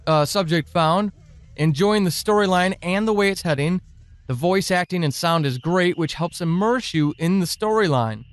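A man hosting a podcast, speaking informally and earnestly in deliberate phrases as he addresses his listeners. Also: high-pitched, no room sound. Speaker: podcast creator and host. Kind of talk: informal listener thanks and announcements.

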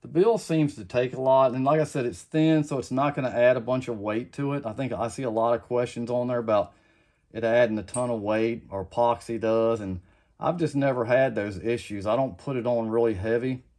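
A man's voice: mid-range voice, brisk. A middle-aged man giving a casual how-to demonstration at his home workbench, rambling and often repeating himself. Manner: rambling, repeats "like I said", relaxed and plain-spoken. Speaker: custom lure maker.

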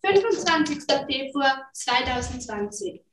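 Teen girl narrator, bulletin delivery, high voice, distant and roomy. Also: lively intonation, a little slow, noisy background. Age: teens. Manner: matter-of-fact, newsreader-like. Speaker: narrator in a school play. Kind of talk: announcing dates and news like a bulletin. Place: large school classroom. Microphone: far camera. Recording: roomy, distant classroom recording.

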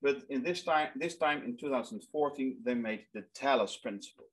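A man's voice: mid-range voice, moderate pace. A man in his thirties with a Dutch accent, giving an online lecture in English as a second language, earnest and often repeating words as he searches for them, recorded over a webcam in a small room. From Netherlands.

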